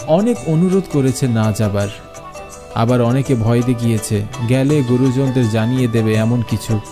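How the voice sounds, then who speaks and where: A man's voice: mid-range voice, normal speed, over music. A man narrating a scripted horror story.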